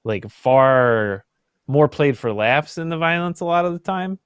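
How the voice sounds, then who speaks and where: A man's voice: a little high, animated, a little slow, smooth, dry recording, studio quality. A young adult man chatting casually and informally, with a sardonic streak and some swearing. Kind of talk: casual group chat.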